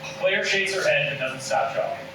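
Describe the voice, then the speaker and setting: A man's voice: a little high, moderate pace. A man reading scene directions as scripted narration at a table read. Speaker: stage directions reader. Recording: roomy, distant mic with loud background noise.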